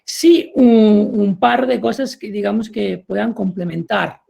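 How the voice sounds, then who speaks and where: A man's voice: high voice, unhurried, gravelly, mellow, breathy edge. A middle-aged man from Italy, speaking with an Italian accent as a panel expert, careful and hesitant, with many restarts. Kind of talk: panel discussion.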